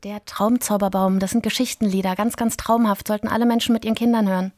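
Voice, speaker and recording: A woman in her thirties from East Berlin, a TV author and reporter answering questions in a studio interview, talking quickly with frequent ähm fillers. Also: mid-range voice, steady tone, darkish tone, dry recording. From East Berlin, Germany.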